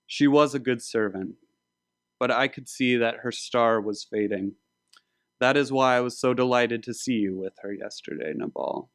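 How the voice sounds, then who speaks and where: A man voicing a commanding, manipulative biblical warrior king in a formal, measured, grandiose manner. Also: mid-range voice, a bit bright, no echo, studio quality, close to an everyday voice. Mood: smoothly sinister.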